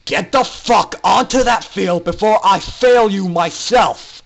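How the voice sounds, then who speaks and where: A man voicing a stern, callous flight instructor in a dramatic story reading, calling out loud, clipped, menacing commands. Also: sits high, slow, gravelly, slight background noise, pitched above the performer's own voice, heavily acted. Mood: angry, menacing. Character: stern, callous male flight instructor pony barking orders.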